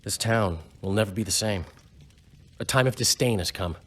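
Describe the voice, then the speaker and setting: An actor playing a wry, eloquent, cynical bard in a fantasy video game, with a husky voice. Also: male voice, lowish voice, moderate pace, close to an everyday voice. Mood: cynical, brooding. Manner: wry, theatrical phrasing.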